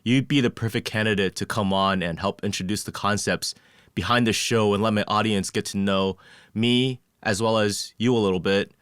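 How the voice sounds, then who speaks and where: Young guy hosting a podcast, lowish voice, reads his intro pretty measured, dry close sound. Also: fairly bright tone, no echo. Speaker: podcast host. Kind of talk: scripted intro and casual interview.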